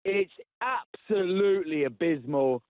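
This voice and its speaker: A man's voice: fairly high pitch, expressive, unhurried, dry recording. A man calling a radio phone-in from his car, exasperated and repeating himself. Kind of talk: casual phone-in call. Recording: tinny phone line.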